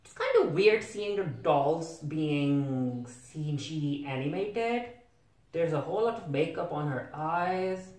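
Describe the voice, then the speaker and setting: A man's voice: a little high, lively intonation, slow pace, darkish tone. A young man gives casual reaction commentary in a bemused, questioning way, often repeating his own words. He is recorded at some distance from the microphone, in an echoey home room. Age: young adult. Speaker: reviewer reacting to a cartoon. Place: small home room with a desk. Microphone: camera a few feet away.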